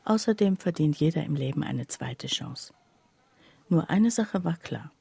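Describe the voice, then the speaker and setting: An actress playing a skeptical woman confiding about her friend in a radio play, her voice husky and breathy and her delivery hushed. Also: sits low, expressive, measured pace, no echo, heavily acted. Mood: doubtful, grave. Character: skeptical female friend recounting her friend's odd behaviour. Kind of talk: literary radio play narration.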